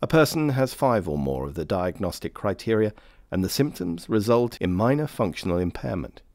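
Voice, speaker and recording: A man reading scripted informational narration for a training film in a measured, formal manner. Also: on the low side, big pitch swings, a little mellow, no room sound. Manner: measured, formal, clearly phrased.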